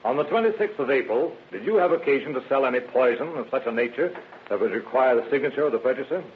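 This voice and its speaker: A man's voice: medium pitch, lively intonation, quick pace, natural voice. Casting: male actor for inquisitive American prosecutor, businesslike, clipped, mid-range, old radio drama sound. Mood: formal questioning. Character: probing, businesslike district attorney questioning experts and witnesses. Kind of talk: old-time radio crime drama acting. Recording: tinny, noisy vintage radio recording.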